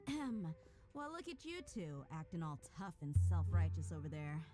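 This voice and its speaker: A woman's voice: deep voice, very animated, moderate pace. An actress playing a brash, tough female mercenary in a video game, speaking in a mocking, confrontational way. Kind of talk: video game cutscene voice acting. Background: game music.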